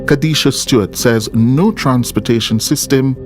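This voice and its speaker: A man's voice: medium pitch, moderate pace, darkish tone. A man with a Jamaican accent reading scripted narration as a reporter for a news documentary. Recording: music bed behind the voice. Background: background music.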